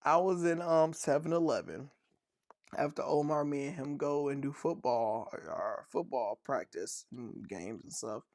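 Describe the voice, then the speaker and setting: A man's voice: medium pitch, measured pace, a little mellow, dry recording, clean studio sound. A man co-hosting a casual podcast, playfully telling dad jokes and laughing at them. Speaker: podcast co-host.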